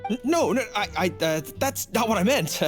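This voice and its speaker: An awkward, dutiful knight from a video game. His pitch leaps about as he speaks in polite, hesitant phrases over faint music. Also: expressive, normal speed. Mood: flustered. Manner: stammering, formal, hesitant. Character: flustered, dutiful knight, polite and easily embarrassed.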